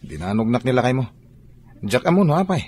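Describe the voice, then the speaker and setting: An actor playing an eager suitor in a radio drama, pleading and earnest, with an Ilocano accent. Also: male voice, mid-range voice, very animated, a little fast, a little mellow, husky. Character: Jake, a man courting a married woman.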